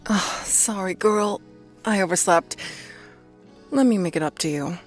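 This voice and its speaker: A woman's voice: medium pitch, a little slow, soft background music, lightly acted. A woman voicing a casual young woman who runs a café, speaking in an offhand, laid-back way.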